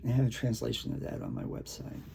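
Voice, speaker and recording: Male poet, very creaky and mellow, casual and halting delivery between chat and reading, faint noise behind the voice. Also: mid-range voice, huge pitch swings. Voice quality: gravelly, creaky. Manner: halting, rambling, conversational. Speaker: poet reading own work. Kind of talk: casual talk and poetry reading.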